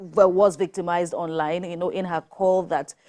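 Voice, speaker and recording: A woman moderating a live TV discussion, somewhat halting as she searches for words. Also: lowish voice, steady tone, a little mellow. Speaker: TV host.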